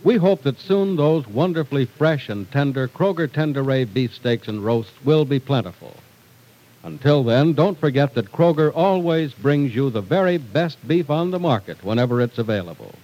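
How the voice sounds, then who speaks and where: A man announcing in a polished, deliberate 1940s radio style, reading scripted narration and sponsor copy. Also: medium pitch, expressive, dark tone, rough recording.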